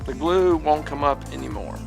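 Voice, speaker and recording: A middle-aged man, a teacher, talking in a casual vlog monologue, halting and repeating words as he goes, livelier when he tells a story. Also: on the high side, creaky, faint music behind. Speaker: vlogger, teacher.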